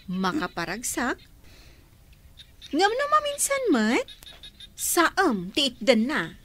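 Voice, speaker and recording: A woman's voice: sits high, wildly swooping pitch, slow pace, a bit bright, full character voice. A woman playing a playful, nosy, teasing younger sister and confidante in a radio drama. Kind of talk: Ilocano radio drama acting.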